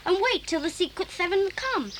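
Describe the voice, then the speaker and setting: An actress playing an eager, plucky school-age child in a children's audio drama. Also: female voice, very high voice, animated, brisk, noisy background.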